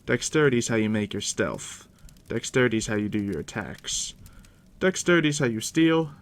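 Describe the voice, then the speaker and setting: A man doing a soft, deep-whispered ASMR roleplay as a game master, unhurried and reassuring, speaking directly to the listener up close. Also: lively intonation. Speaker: game master guiding a new player.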